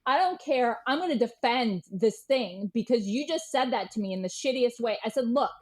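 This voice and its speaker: A woman's voice: fairly high pitch, animated, normal speed. A woman speaking as an interview guest, telling stories conversationally in a blunt, casual, sweary way. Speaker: interview guest, writer and historian. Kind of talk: animated conversational storytelling.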